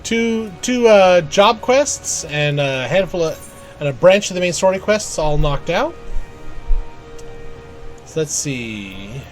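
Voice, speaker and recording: A man's voice: on the high side, sing-song, slow pace, pauses often. A man giving casual live commentary as he plays a game, easygoing, thinking aloud in fragments and reading out on-screen text. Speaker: game streamer playing and commenting. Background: video game music.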